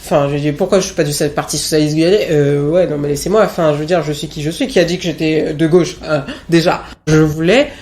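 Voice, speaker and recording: A woman's voice: low voice, fairly even intonation, fast talker, hardly any pauses, a little mellow. A woman in her late thirties from French Guiana giving a reflective personal testimony, speaking candidly and with self-assured emphasis. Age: late 30s. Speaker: interviewee.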